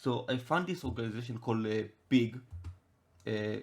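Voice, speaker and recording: A young man from Israel with an Israeli accent, Hebrew his first language, hosting a casual chat podcast and talking haltingly with many restarts. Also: medium pitch. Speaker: podcast host.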